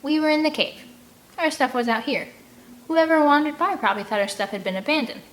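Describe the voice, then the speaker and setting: A woman's voice: high voice, fairly quick, a bit bright, pitched above the performer's own voice. A woman voicing a self-assured young boy with a rational, persuading tone in a storybook read-aloud.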